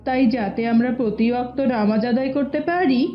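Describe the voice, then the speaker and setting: Woman doing a pious cartoon schoolboy, earnest, high voice, bit muffled. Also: brisk, lightly acted. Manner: earnest, explanatory. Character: pious, persuasive young boy, a school friend. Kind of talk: Islamic moral cartoon dialogue. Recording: dull lo-fi audio.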